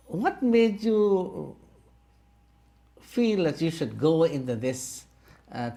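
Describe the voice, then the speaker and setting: A man from Guyana hosting a studio interview, pausing often with fillers as he asks his guests to introduce themselves. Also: fairly high pitch, a little mellow. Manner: hesitant, many uh fillers. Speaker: interview host.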